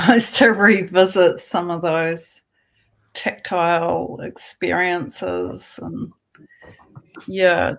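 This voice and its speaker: A woman on a panel speaking slowly and fondly about her memories, laughing softly as she talks. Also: lowish voice, gravelly. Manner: slow and reminiscing, with soft laughter. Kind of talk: casual panel talk.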